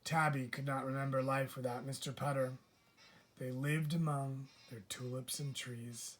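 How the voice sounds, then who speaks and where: Male teacher, storybook read-aloud, mid-pitched voice, measured phrasing, deliberate delivery. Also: little pitch movement, a little hiss or hum.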